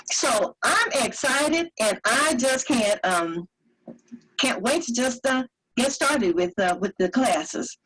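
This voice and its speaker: A woman, a vocal performer promoting her classes, who talks haltingly with many fillers. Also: medium pitch, a bit bright, rough recording. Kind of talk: online presentation. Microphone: video call.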